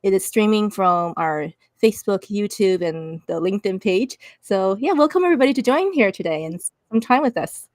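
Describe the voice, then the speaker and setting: A woman hosting a live-streamed panel over a video call, easygoing and a little halting. Her voice sits in a middle range and the sound is dry and close. She welcomes the guests in a casual way. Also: mid-range voice, expressive, no room sound. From Canada. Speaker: host.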